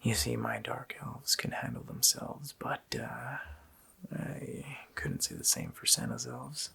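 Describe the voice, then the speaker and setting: A man voicing a sadistic, joking Christmas demon in a gravelly, whispered ASMR roleplay, with a slow, mockingly playful menace. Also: mid-range voice, huge pitch swings, sharp tone, a little hiss or hum, heavily acted. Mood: sinister, scornful. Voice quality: gravelly whisper with creaky growl.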